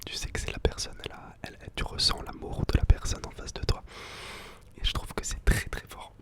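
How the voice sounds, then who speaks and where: A man whispering softly and close for ASMR, slowly repeating foreign words with casual slang. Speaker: ASMR creator.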